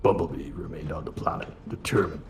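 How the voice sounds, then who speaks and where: Casting: low-voiced heroic robot commander played by a man, grave, earnest, measured, roomy sound. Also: soft background music. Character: noble, weary male robot leader. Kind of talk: scripted fan dub of a comic.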